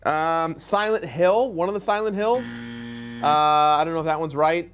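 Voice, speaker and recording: A man in his thirties speaking casually as a contestant on a studio game show, thinking out loud. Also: a little high, moderate pace, slight background noise. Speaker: game show contestant, online video host. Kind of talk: casual, unscripted quiz answers. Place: indoor studio set.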